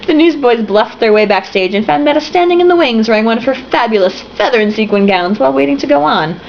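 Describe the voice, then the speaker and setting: A woman's voice: a little high, animated, fast, vocal fry, a little hiss or hum. A tipsy young woman reading a novel aloud, breaking off with swearing, giggling asides, on a slightly muffled home recording. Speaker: reader.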